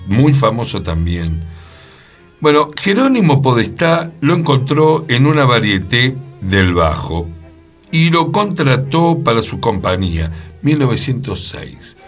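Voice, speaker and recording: A man's voice: medium pitch, sing-song, measured pace, faint music behind. A man with a Rioplatense Argentine accent, co-hosting radio and telling anecdotes in a casual chat style. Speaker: radio co-host.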